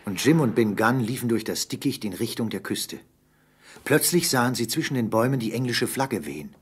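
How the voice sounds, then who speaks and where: A man as the measured narrator of a German adventure radio play, telling the story with a husky voice. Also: medium pitch, animated, a little hiss or hum, natural voice. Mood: measured, narrative.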